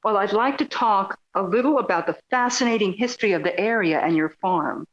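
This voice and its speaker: A woman's voice: mid-range voice, brisk, dull audio, a little hiss or hum, natural voice. Casting: actress for persistent, courteous female reporter, mid-range voice, probing delivery, radio drama. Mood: polite, eager. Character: polite, inquisitive, persistent female radio journalist. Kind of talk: audio drama acting.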